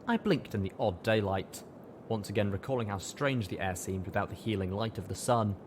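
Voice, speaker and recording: A man's voice: fairly low pitch, animated, a little fast, slight background noise. A man reading a scripted story aloud as an audiobook narrator. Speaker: audiobook reader.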